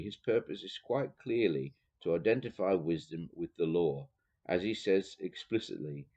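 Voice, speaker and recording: Man, Dutch accent, deep voice, thoughtful, hesitant, dull lo-fi sound. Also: dark tone. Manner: thoughtful, halting, many fillers and restarts. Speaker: teacher reading and commenting on a theology book. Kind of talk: reading aloud with commentary.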